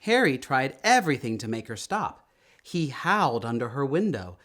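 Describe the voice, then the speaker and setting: A man reading a children's story aloud in an expressive, emphatic storytelling manner, drawing out words. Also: medium pitch, moderate pace. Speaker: storytime reader.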